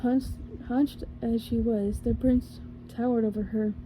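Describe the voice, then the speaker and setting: Female ASMR reader, unhurried and soft, dark warm tone, small self-corrections. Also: a little high, steady tone, a little hiss or hum, low-quality recording. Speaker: ASMR storyteller. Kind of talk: soft ASMR story reading.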